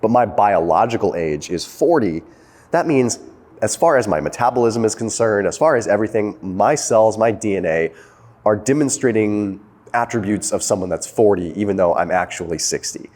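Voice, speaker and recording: A man, not yet sixty, casually explaining health research as host of his own channel, talking fast and emphatically with frequent "okay" and "like". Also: lowish voice, lively intonation, a little mellow, big-room sound. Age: young adult.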